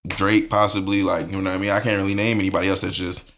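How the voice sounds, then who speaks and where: A man's voice: sits low, little pitch movement, fast talker, a bit bright, slight background noise. A man co-hosting a podcast, talking in a laid-back, slangy way and laughing into his points. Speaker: podcast co-host. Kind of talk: casual chat.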